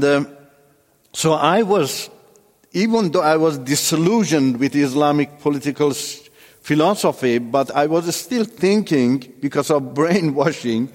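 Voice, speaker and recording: A man from Iran whose first language is Turkish, giving a personal testimony in a halting way with many restarts. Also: a little high, long reverb tail. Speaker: testimony speaker.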